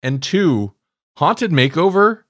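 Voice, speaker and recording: An animated man talks casually as a podcast co-host. His pitch swings widely and he sounds amused. The sound is dry and close. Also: slow, dry recording. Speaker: podcast co-host reviewing audio dramas. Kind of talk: casual chat.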